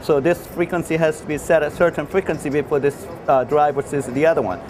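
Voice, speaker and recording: A man who is not a native English speaker gives an unscripted technical product demonstration as a designer, in a halting, repetitive way with frequent false starts. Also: fairly high pitch, fairly even intonation, over music. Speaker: loudspeaker designer presenting his product. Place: trade show exhibition space. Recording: noisy trade show floor recording.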